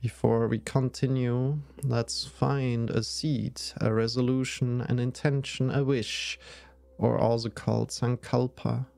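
Slow-paced man guiding a meditation, slightly creaky. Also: medium pitch, flat delivery, dark tone. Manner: soothing, measured, with long pauses. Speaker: yoga nidra guide. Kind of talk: guided meditation.

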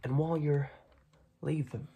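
Young guy doing a smug mob boss for asmr, husky half whisper, real slow and threatening. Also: on the high side, steady tone, full character voice. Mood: persuasive. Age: young adult. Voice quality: breathy, raspy, often dropping into whisper. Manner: slow, halting, repeats words, quietly intimidating. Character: smug, menacing mob boss recruiting a kid. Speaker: ASMR roleplayer. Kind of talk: ASMR roleplay. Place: dim room at home, indoors.

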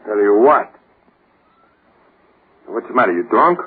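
An actor playing a grumpy innkeeper roused from sleep, grumbling in singsong broken English in an old-time radio drama. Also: male voice, on the low side, lively intonation, a little slow, a little hiss or hum. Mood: irritated. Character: grumpy male lodging keeper roused from sleep. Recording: muffled old radio recording.

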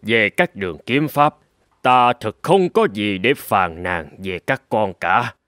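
A man voicing a stern old swordmaster and father in a fairy-tale cartoon, speaking in a measured, formal, lecturing way. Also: on the low side, lively intonation, fairly bright tone, no echo, studio quality. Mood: solemn.

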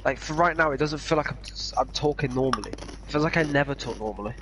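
A man's voice: medium pitch, quick pace, noisy background. A young man chatting crudely with friends while gaming. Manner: crude banter. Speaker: gamer. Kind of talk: casual chat over voice chat.

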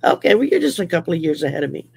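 Gravelly woman in her sixties on a video call. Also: lowish voice, sing-song, racing, darkish tone, slight background noise. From Louisiana, USA. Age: 60s. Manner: rambling, many fillers, laughs often. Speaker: guest. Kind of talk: casual chat. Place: home room.

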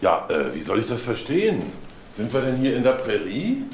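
A man's voice: mid-range voice, huge pitch swings, moderate pace, a little mellow. Sardonic male detective, roomy sound. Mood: probing, sardonic. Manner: dry, sardonic. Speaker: actor playing a police detective. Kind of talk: German TV crime drama dialogue. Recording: roomy sound with faint noise.

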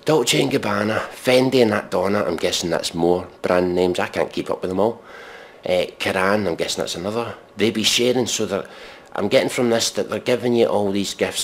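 Low voiced guy doing sarcastic commentary and a dramatic reading, deadpan, mock serious, swears a bit. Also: slight background noise.

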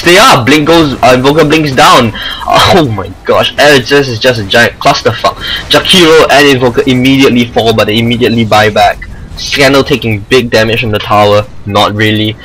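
A man with a Singaporean accent giving casual amateur commentary over a video game match, excitable and rambling. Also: mid-range voice, moderate pace, a little hiss or hum, poor audio. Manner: excitable, rambling asides, self-deprecating.

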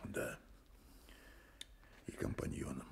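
An actor in a radio play voicing a terse, determined private detective, probing and dry. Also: male voice, slow pace, slight background noise, lightly acted. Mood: dry, resolute.

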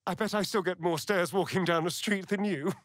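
A man with an English accent playing a sardonic, vain elven vampire rogue in a video game, arch, mocking and theatrical, with a breathy voice. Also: high voice, fairly quick, no echo, studio quality, lightly acted. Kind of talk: video game character voice lines, theatrical delivery.

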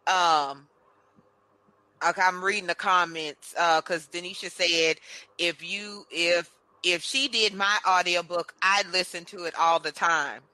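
A woman's voice: fairly low pitch, steady tone, unhurried, bright, forceful tone, no room sound, low-quality recording. A woman hosting a casual radio talk show, playful and chatty, reading out listener comments as she goes. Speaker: host.

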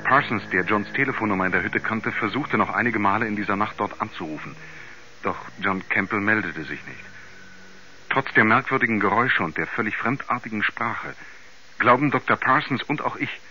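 An actor playing a serious investigator, narrating a mysterious case in a measured, questioning way for a radio play. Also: male voice, lowish voice, pushed and bright, exaggerated voice. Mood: sober, grave. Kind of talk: scripted documentary-style radio play narration. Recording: dull 1970s radio recording, clear background noise.

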